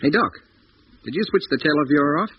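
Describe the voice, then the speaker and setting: A male actor plays an irritable, suspicious spaceman in a 1950s radio serial. His voice is fairly high and swings widely in pitch, with a brusque, challenging manner. The old recording is muffled. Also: animated, fast, slight background noise, natural voice. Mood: sceptical. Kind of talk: 1950s radio drama acting.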